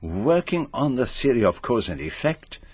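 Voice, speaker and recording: An actor playing a shrewd, courteous detective with a Belgian French accent, questioning deliberately in a radio mystery drama. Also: male voice, mid-range voice, sing-song, husky, no room sound. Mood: probing, shrewd. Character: shrewd, courteous foreign detective, methodical and probing.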